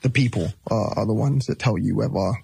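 Mellow-voiced man, relaxed and rambling. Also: lowish voice, a little fast, creaky, breathy edge. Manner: relaxed, rambling, with many fillers and restarts. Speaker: musician guest. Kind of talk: casual interview talk.

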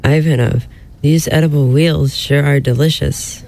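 Male actor as flirty, weary bride, mellow creaky drawn-out voice, radio comedy. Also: a little high, steady tone, faint music behind. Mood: dry, flirty. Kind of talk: comic radio play acting.

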